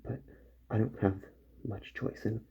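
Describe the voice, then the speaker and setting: A man doing a soft-spoken ASMR roleplay as a repair doctor, with gentle, slow, partly whispered delivery. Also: lowish voice, fairly even intonation, gravelly, highs cut off, slight background noise. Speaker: ASMR performer playing a robot repair doctor.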